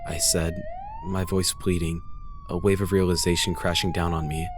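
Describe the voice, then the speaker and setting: A man's voice: low-pitched, huge pitch swings, moderate pace, noisy background. A man reading a first-person horror story as a dramatic scripted narration, grave and intense.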